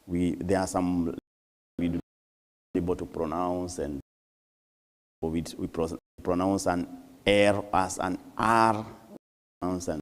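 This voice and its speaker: A man with a Kenyan accent, English his third language, speaking as a visiting priest to a congregation in a measured, deliberate way with pauses. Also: sits low, steady tone. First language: not English; English is his third language. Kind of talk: church talk to a congregation. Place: church hall. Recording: long church reverb.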